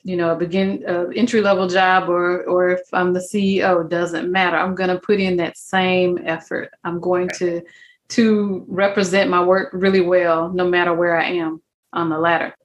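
Low-voiced woman interview host. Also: level tone, moderate pace. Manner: reflective, smiling while speaking. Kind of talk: conversational interview.

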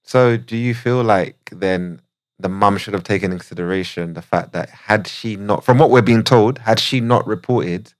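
A male podcast host with a somewhat low voice leads a loose panel chat. He keeps it playful and teasing, then turns to his guests with probing questions. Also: normal speed.

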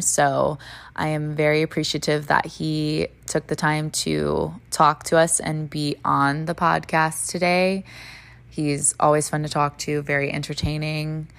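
A woman hosting a casual dating podcast, chatty and quick to laugh, using lots of fillers. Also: low-pitched, vocal fry, fairly bright tone. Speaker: podcast host.

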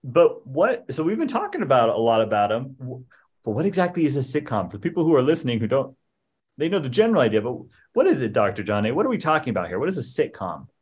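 A man's voice: medium pitch, sing-song, fast, breathy edge. A man co-hosting a podcast in casual chat, with a playful, joking manner. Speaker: podcast co-host.

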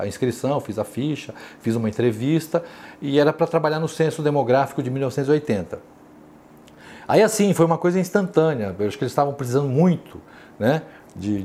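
A man in his sixties with a São Paulo accent, recalling his working life in an interview, talking slowly with many restarts and tag questions. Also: mid-range voice, creaky, a little mellow, slight background noise. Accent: São Paulo Portuguese. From São Paulo, Brazil. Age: 60s. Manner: unhurried, hesitant, frequent tag questions and restarts. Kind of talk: reflective life-story interview.

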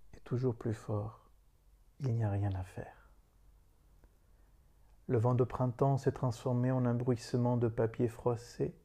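A man reciting a solemn poem in a grave, meditative manner, leaving long pauses between short phrases. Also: medium pitch, warm tone. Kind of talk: solemn spoken poetry recitation.

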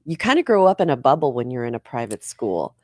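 A woman hosting a casual interview, upbeat and quick to chime in with short affirmations. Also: extremely low pitch, very animated, no echo, clean studio sound. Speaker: host.

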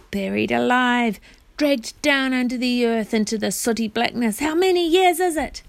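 A woman voicing an anguished bewitched knight in a storybook, pleading in courtly, archaic words with drawn-out, groaning delivery. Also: on the high side, dry recording, lightly acted. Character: anguished bewitched knight, a desperate young man pleading in bonds.

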